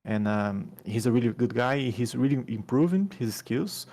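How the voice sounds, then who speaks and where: A man with a Brazilian accent, a race winner answering questions after the race in halting, repetitive phrasing over a headset call. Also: medium pitch, lively intonation, mellow. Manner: halting, repeats words, second-language phrasing. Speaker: race winner being interviewed. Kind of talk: post-race interview.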